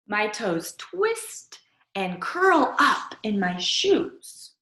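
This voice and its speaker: Storybook young boy, performed by a woman: theatrical, full of dread, highly animated pitch, drawn-out suspense. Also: reverberant, heavily acted. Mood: mounting horror. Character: dramatic young boy who dreads eating peas. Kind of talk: animated storybook read-aloud.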